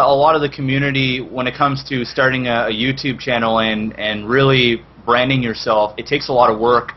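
Canadian guy on a video call, chatting casually, dull audio w faint noise. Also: mid-range voice. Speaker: guest, esports streamer. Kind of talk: casual chat. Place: indoor room on a video call. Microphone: headset.

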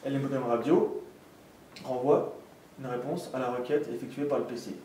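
A man's voice: medium pitch, steady tone, normal speed, dark tone. A man presenting a technical tutorial step by step in a methodical, instructional manner. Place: indoor room with desk and green backdrop. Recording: echoey room, distant mic, faint noise.

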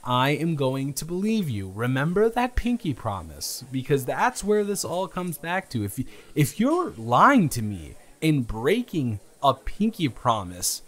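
A man's voice: on the high side, very animated, unhurried, few pauses. A man acting out a playful, flustered young guy on a first date in an improvised audio roleplay, teasing and stammering with mock indignation. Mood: teasing suspicion. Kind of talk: improvised romantic audio roleplay, casual chat.

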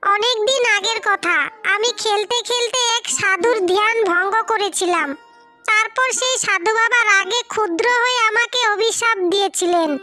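A woman voicing a kindly magical snake-woman cursed into a bird in a cartoon fairy tale, with a gentle, earnest, reassuring manner. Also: very high-pitched. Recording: music behind the voice.

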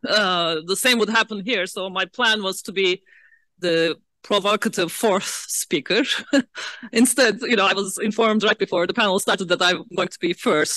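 Woman from Turkey, panelist; bright, crisp delivery, dry close mic, thoughtful and filler-heavy. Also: on the low side, no echo. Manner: measured, frequent "uh" fillers, occasional soft laughs. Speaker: panel speaker. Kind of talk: academic roundtable remarks. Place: large lecture hall.

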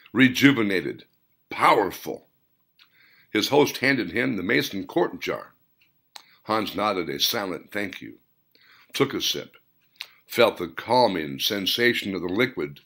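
A man reading his own novel as a dramatic audiobook, in deliberate, theatrical short phrases. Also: fairly low pitch, vocal fry, pushed and bright.

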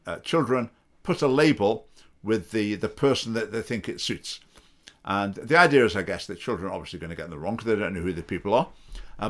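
A middle-aged man in his fifties giving opinionated, sarcastic political commentary to a webcam from his home study. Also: on the low side. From England. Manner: opinionated, sarcastic, mocking quoted voices. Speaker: political party spokesman. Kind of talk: commentary to camera. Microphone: webcam video call framing.

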